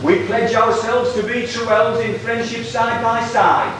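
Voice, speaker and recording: A man's voice: extremely high pitch, flat delivery, unhurried, very noisy. A man playing an officious, bossy elf leader in an amateur pantomime, declaiming his orders. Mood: ceremonial. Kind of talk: amateur church pantomime acting. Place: church pantomime stage, distant camera microphone. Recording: echoey distant hall video, loud music.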